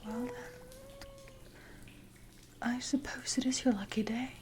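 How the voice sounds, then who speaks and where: A woman voicing a haughty, seductive and menacing siren in a breathy ASMR roleplay whisper, with deliberate, condescending delivery. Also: fairly high pitch, fairly even intonation, darkish tone, lightly acted. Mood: musing, condescending. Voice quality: breathy, mostly unvoiced whisper. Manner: slow, deliberate, condescending, archaic phrasing. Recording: noisy ambience with water drips.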